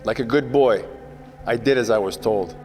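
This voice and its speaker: A man playing a wisecracking, world-weary 1940s private detective, narrating a radio noir parody in deadpan hard-boiled style. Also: mid-range voice, normal speed, big-room sound, over music, natural voice. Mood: sardonic.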